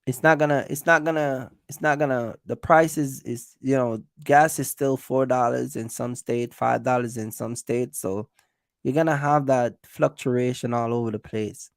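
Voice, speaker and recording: A man's voice: medium pitch, fairly even intonation, moderate pace, gravelly, dry recording, studio quality. A man hosting a casual livestream, giving business advice straight to viewers in an emphatic, repetitive way. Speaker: host of a trucking business livestream.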